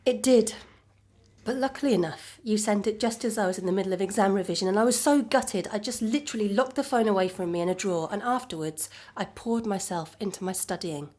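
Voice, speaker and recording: Woman acting a devoted, gently resolute young girlfriend. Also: mid-range voice, fairly quick, close to an everyday voice. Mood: nostalgic. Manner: soft, conversational, reassuring. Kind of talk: intimate naturalistic audio drama acting.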